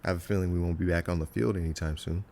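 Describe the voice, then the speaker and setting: A man's voice: low-pitched, fairly even intonation, rapid-fire, gravelly, darkish tone, slight background noise. A man acting a guarded, restless and loyal operative with a wry manner in an audio drama.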